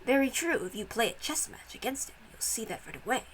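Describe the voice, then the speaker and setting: A woman's voice: on the high side, very animated, quick pace, a little hiss or hum. A woman voicing a hearty old grandfather, a fond and boisterous master of the house, in a visual novel reading. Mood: amused, fond.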